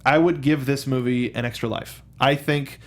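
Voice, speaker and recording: An American man hosts a film talk show from a studio. His delivery is casual and conversational, with frequent repeated words and halting restarts as he works through each thought. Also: medium pitch, fairly even intonation. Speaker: host of a film review show. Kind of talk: casual film discussion chat. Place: studio with a control booth.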